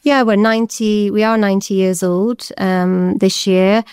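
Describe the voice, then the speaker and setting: A woman's voice: mid-range voice, fairly even intonation, a little slow, a little mellow, clear, resonant tone, no room sound, studio quality. A Scottish woman in her forties, a deputy head teacher, answering interview questions in a chatty way with many fillers. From Scotland.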